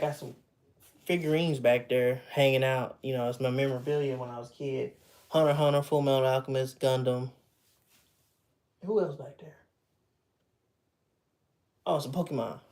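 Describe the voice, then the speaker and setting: A man's voice: medium pitch, moderate pace, pauses often, darkish tone. A man in his thirties chatting casually with viewers on a livestream from home, loose and chatty, often laughing and trailing off mid-thought. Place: at home with memorabilia behind him.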